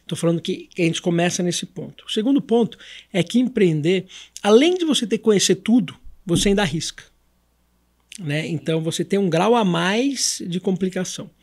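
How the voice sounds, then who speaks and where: A Brazilian man with a notably high voice talks as a podcast guest. He explains things conversationally and didactically, often repeating phrases and answering his own questions. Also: animated, fairly quick. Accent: Brazilian Portuguese.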